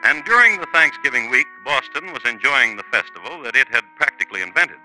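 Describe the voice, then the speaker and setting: An actor narrating a 1950s radio crime drama as a wry, urbane storyteller, dry and measured. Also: male voice, mid-range voice, expressive, pushed and bright, low-quality recording. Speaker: host and narrator. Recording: old 1950s radio recording, music behind.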